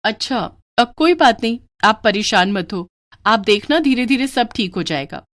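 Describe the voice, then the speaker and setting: A woman voicing an outspoken, practical village wife and mother with a brisk, homely manner in a cartoon. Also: a little high, animated, fairly bright tone. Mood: reassuring.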